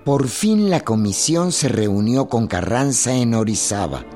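A man giving measured, formal narration for a scripted historical radio drama. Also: mid-range voice, very animated, a little mellow. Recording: music behind the voice.

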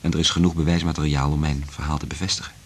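Deep, husky, brooding male scientist. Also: flat delivery, rapid-fire. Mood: matter-of-fact, guarded. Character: earnest male scientist in love, resolute and brooding. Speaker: radio drama actor. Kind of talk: Dutch radio play acting.

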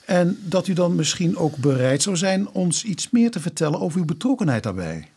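An actor playing an earnest police inspector in a Dutch radio drama, formal and careful, polite but pressing. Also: male voice, high-pitched, very animated, moderate pace, a little mellow, breathy edge, heavily acted. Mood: polite, probing.

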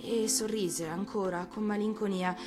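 A woman's voice: medium pitch, fairly even intonation. Woman narrating a tale over music. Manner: hesitant, emotive, pausing between short phrases. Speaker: actress reading a fairy tale. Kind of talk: dramatic first-person story narration.

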